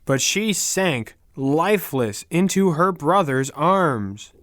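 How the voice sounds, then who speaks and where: A man narrating a fairy tale for language learners in a deliberate, carefully enunciated way, with pauses between short phrases. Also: a little high, animated, fairly bright tone, no echo, clean studio sound. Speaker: story narrator.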